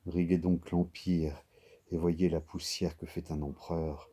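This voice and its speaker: A man performing a brooding, ambitious king in a solemn, declamatory reading of a classic verse stage monologue, with deliberate pauses. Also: low voice, level tone, warm tone, husky. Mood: anguished wonder. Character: brooding ambitious king, grandiose and awed before a tomb.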